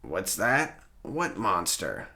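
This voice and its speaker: A man's voice: low voice, huge pitch swings, slow, pushed and bright, heavily acted. A man voicing a tired, fretful male dinosaur neighbour in a children's storybook, in a weary, complaining way. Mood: puzzled.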